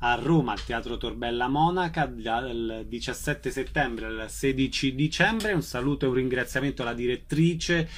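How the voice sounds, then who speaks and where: A man's voice: medium pitch, normal speed, hardly any pauses, slight background noise. A man working as a radio host, interviewing a guest.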